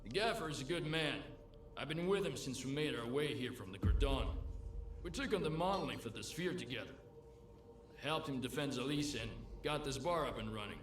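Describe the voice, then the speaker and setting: A man playing a gruff, animated bartender. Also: medium pitch, a little fast, soft background music, close to an everyday voice. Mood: resigned. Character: world-weary, pragmatic male bartender in a lawless zone. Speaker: bartender character. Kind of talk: video game dialogue. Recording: roomy echo with noisy game ambience.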